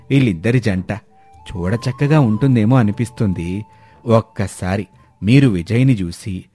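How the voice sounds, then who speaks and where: A man playing a wise, polite village elder in a dramatised storybook tale, speaking in a measured way. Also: fairly low pitch, dark tone, natural voice. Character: courteous, wise village elder, male, calm matchmaker.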